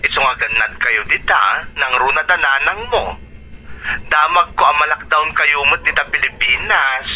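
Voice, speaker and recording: An actor playing a concerned uncle calling from abroad in an Ilocano radio drama, heard through a telephone effect. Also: male voice, a little high, moderate pace, pushed and bright, slight background noise, rough recording.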